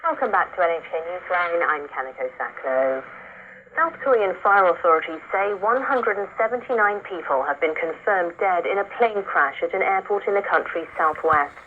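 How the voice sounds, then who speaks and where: Woman newsreader, low-ish voice, tinny shortwave sound, faint hiss. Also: lively intonation. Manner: measured, formal delivery. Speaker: news anchor. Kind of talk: scripted news reading.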